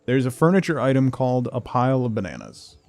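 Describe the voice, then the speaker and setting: A man's voice: medium pitch, very animated, fast, a little mellow. A man doing a casual, rambling livestream over a video game, talking conversationally with viewers. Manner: rambling, conversational, reads chat and game text aloud. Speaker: game streamer, host.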